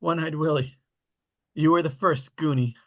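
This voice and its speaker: A man reading the part of an earnest, hopeful boy adventurer at a script table read, in a slow, hushed delivery. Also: fairly high pitch, steady tone, close to an everyday voice. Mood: reverent.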